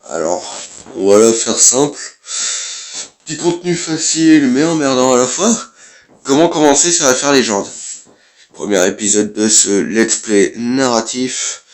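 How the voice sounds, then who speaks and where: A man's voice: medium pitch, expressive, a little slow. A man, who has a cold, giving casual, blunt let's play commentary as a gaming YouTuber and swearing offhandedly.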